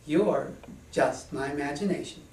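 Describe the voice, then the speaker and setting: A man's voice: on the high side, a little slow, a little mellow, slight background noise, pitched below the performer's own voice, natural voice. A man voicing an innocent, earnest little girl in a simple, trusting manner. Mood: stubborn, certain. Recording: echoey room, distant microphone.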